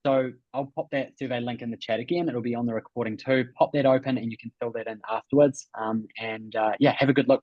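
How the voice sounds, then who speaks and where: Husky Kiwi man coaching, roomy sound. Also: medium pitch. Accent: New Zealand. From New Zealand. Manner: emphatic, repeats key points, checks in with "right?". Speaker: host and business coach. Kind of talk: webinar coaching, conversational explanation. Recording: muffled, roomy webinar audio.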